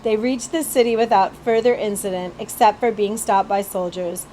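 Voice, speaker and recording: A woman's voice: medium pitch, normal speed, a little hiss or hum. A woman reading a children's story aloud expressively, as a librarian would, stumbling now and then. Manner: animated storytelling with occasional stumbles and asides.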